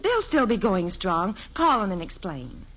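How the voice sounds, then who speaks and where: An actress playing a gentle, conscientious middle-aged American wife and mother in a 1950s radio sitcom. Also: medium pitch, sing-song, unhurried. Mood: concerned. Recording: muffled, noisy vintage radio recording with clicks.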